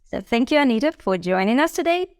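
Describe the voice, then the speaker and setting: Interview host: woman, clear resonant voice, friendly and encouraging, dry close sound. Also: fairly high pitch, very animated, fast, no echo. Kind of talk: interview, conversational.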